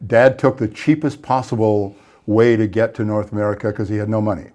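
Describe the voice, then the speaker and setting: A Scottish-born man in his sixties, a guest author and songwriter telling wry, brisk anecdotes in a studio interview on a lapel mic. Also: lowish voice, fairly even intonation, dark tone. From Scotland. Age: 60s. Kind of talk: casual interview storytelling. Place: small TV studio set.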